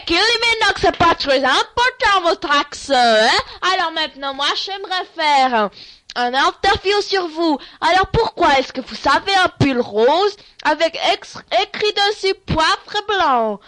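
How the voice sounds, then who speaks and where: A woman's voice: very high voice, lively intonation, a little slow, fairly bright tone, no echo. A woman playing a silly radio host with fake-formal announcer manners in an improvised sketch.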